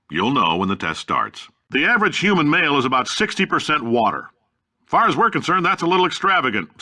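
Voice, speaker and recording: A blustering middle-aged American company boss with a very sharp, forceful, driving voice at an ordinary male pitch. He delivers video game announcements like a cocky salesman, folksy and blunt, brashly sure of himself. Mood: breezy, cocky.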